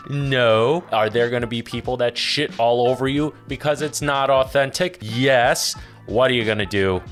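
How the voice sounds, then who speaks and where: Blunt, swearing chef reacting to a video. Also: male voice, medium pitch, moderate pace, faint music behind. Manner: blunt, profane, animated and joking. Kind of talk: casual reaction commentary.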